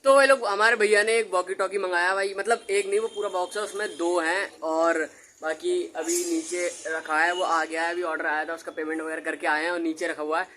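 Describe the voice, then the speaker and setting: A man's voice: high-pitched, steady tone, fairly quick, pushed and bright, roomy sound, a little hiss or hum. A young man chatting casually and informally to viewers as a vlogger. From India. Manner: informal, chatty, often addressing viewers as brother. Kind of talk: casual chat to camera.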